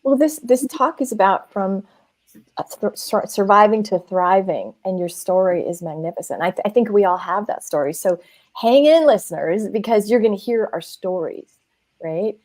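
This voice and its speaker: An American woman hosts a relaxed panel conversation. She is effusive and chatty, her pitch sits in the middle of the range, and she trips over her own sentences as she goes. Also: moderate pace. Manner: effusive, chatty, many restarts. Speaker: host. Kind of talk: casual panel talk.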